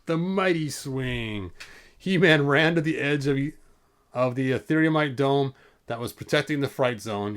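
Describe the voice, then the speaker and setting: Man casually reading a storybook aloud. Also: medium pitch. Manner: informal, stumbles over words and breaks off for asides. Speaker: host reading a storybook aloud to online viewers.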